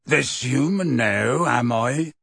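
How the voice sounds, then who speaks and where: A man voicing a gruff, defiant but big-hearted half-giant gamekeeper in an audiobook, with a gravelly, husky voice and blunt rustic speech. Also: medium pitch, lively intonation, unhurried, a bit bright, no room sound, clean studio sound, exaggerated voice. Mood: testy, indignant.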